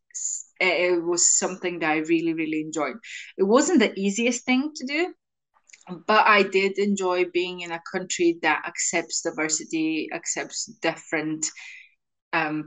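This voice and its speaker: A woman's voice: fairly low pitch, fairly bright tone. A woman in her thirties from Slovenia, a native Slovenian speaker, chatting enthusiastically as an interview guest. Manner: enthusiastic, chatty, laughs often. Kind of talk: interview answers.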